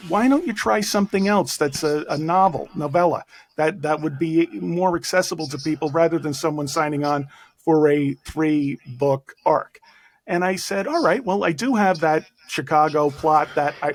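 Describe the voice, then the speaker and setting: A man with a Bronx accent, talking as an author guest in a casual interview, self-deprecating and brisk, going on at length. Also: on the high side.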